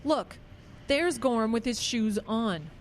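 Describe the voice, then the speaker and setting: Radio suspense part: earnest small-town woman actress, fairly high voice, mystified and caring, slight background noise. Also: expressive, slow pace. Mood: secretive, puzzled. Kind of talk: radio drama acting.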